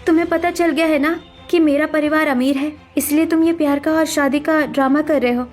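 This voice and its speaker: A woman's voice: sits high, fairly even intonation, a little fast, a little mellow, soft background music, natural voice. A woman voicing a sensitive young sister-in-law with earnest emotion in cartoon story dialogue. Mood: hurt, defensive.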